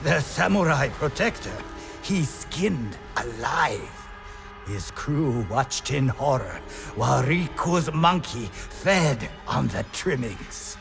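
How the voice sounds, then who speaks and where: An actor playing a haunted pirate sailor who spins grim legends with theatrical, ominous pauses in a video game. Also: male voice, mid-range voice, very animated, vocal fry, over music, exaggerated voice. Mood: grave, foreboding. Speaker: storyteller. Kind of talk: dramatic campfire-style storytelling in a video game.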